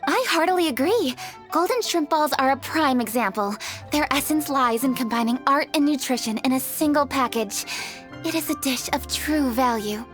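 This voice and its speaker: An actress voices a dutiful young woman of office in a fantasy game. Her voice sits on the high side and she speaks in earnest, well-formed sentences. Music is clearly heard underneath. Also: normal speed, close to an everyday voice. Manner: articulate, earnest, businesslike. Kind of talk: video game character acting.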